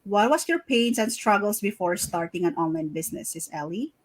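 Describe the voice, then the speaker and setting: A woman's voice: mid-range voice, animated, a little fast. A middle-aged woman hosting a casual live-stream interview, upbeat and chatty, repeating greetings and praise. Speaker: host of a live interview series.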